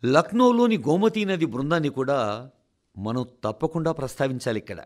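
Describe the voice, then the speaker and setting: A man with a Telugu accent reading a scripted address for radio in a formal, deliberate way with rhetorical pauses. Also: on the high side, expressive. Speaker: radio reader of a translated national address.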